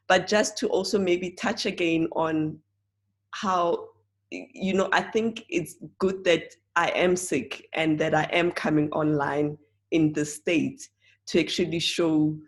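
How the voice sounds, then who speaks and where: Slow, low-voiced hoarse woman. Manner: earnest, halting, with frequent fillers and restarts. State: hoarse, croaky voice. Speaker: presenter of an online oils class. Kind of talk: casual spoken class talk.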